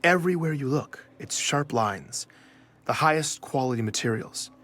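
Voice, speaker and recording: Nervous journalist-narrator, mid register, self-conscious, for a sci-fi audio drama. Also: male voice, mid-range voice, expressive, fairly bright tone. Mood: measured, uneasy. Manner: measured narration, hesitant in dialogue. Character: nervous male investigative journalist narrating his own story. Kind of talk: scripted first-person audio drama narration.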